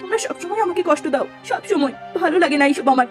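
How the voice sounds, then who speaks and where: A woman voicing a humble, long-suffering poor young woman in a cartoon, gentle and plaintive. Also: high-pitched, lively intonation, quick pace, soft background music, voice pushed up. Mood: hurt. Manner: plaintive, pleading.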